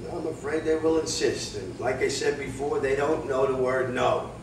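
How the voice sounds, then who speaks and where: A distant, very echoey recording with clear background noise carries a blunt, world-weary boxing manager played by a man in a staged reading. He cautions his fighter in a matter-of-fact way. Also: medium pitch, normal speed, natural voice. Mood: blunt, resigned. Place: live staged reading in a roomy indoor space. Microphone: distant video camera. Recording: echoey, noisy room, distant camera microphone.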